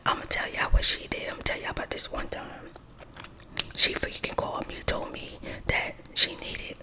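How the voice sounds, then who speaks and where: A woman whispering a gossipy storytime while she does her makeup, close to the mic. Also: rough recording. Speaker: vlogger.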